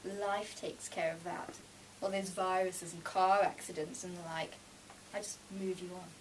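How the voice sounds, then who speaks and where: A woman's voice: on the low side, level tone, unhurried, far from the mic, natural voice. Death as an easygoing, plain-spoken boyfriend for a short story, female reader, heard from off-mic in a noisy room. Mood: matter-of-fact. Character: laid-back man who is Death, patient, matter-of-fact.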